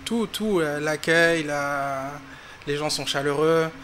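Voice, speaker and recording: A young man from France, a singer, giving relaxed interview answers full of fillers. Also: fairly high pitch, a little hiss or hum. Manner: quick, relaxed, with many fillers.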